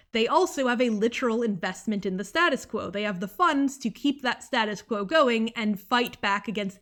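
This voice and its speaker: A young woman delivering a scripted book review video essay, earnest and analytical, drifting off into asides now and then. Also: a little high. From United States. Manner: earnest, analytical, with sidetracks and small laughs.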